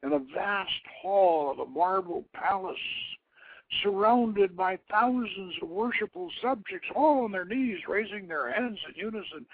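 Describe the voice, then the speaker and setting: A man's voice: high voice, unhurried, husky, dry recording. Dramatic male storyteller on a phone-like line. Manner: theatrical, solemn, dropping to hushed near-whispers and swelling for emphasis. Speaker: host reading his own novelette. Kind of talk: dramatic narrated storytelling, reading aloud. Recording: phone-like internet radio sound.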